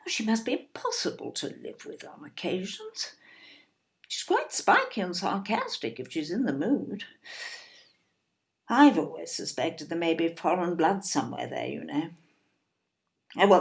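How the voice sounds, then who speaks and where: A woman's voice: sits low, creaky, exaggerated voice. A woman acting in an audio play as an indignant, snobbish older English mother, fussy and emphatic, with an English accent. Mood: indignant blaming. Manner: emphatic, repetitive, tag questions.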